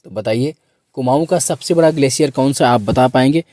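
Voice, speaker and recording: A man with a hoarse, sore-throated voice teaching a quiz lesson for exam students, repeating questions and prompting listeners to answer. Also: medium pitch, brisk, mellow, no echo.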